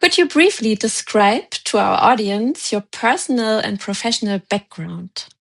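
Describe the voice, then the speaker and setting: Gravelly-voiced woman hosting an interview. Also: mid-range voice, sing-song, a little slow. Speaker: journalist, interview host. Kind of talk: scripted host introduction.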